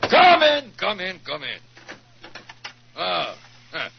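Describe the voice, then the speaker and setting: A defiant, expansive Russian ambassador in an old radio play, with a thick Russian accent. The voice is gravelly and swings widely in pitch, on a dull, noisy vintage recording. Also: male voice, wildly swooping pitch, slow pace, heavily acted. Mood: jovial, expansive. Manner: theatrical, expansive. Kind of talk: 1940s radio drama acting.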